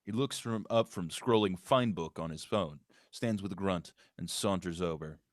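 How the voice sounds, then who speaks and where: A man's voice: deep voice, moderate pace, dry recording, studio quality. A man reading scripted stage directions as the narrator of a screenplay table read.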